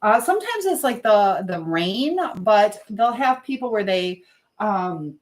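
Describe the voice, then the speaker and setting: A woman speaks casually as the host of a live stream, in a medium-pitched voice. She rambles from thought to thought in a loose, unscripted way, like someone talking while busy with chores. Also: sing-song, a little slow. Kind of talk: casual live chat monologue.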